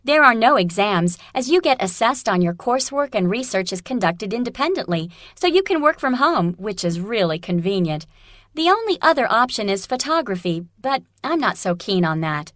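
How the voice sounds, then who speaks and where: Woman playing practical young female student, medium pitch, measured delivery, clear enunciation, scripted exam dialogue, dry recording. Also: sing-song, a bit bright, no room sound. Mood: matter-of-fact. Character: practical female student weighing art courses. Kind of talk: scripted dialogue for an English listening test.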